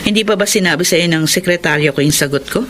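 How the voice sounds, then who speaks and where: A woman's voice: lowish voice, fast talker, fairly bright tone, natural voice. Female mayor played by an actress, Filipino, principled elder-sister type, radio drama, slight background noise. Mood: firm.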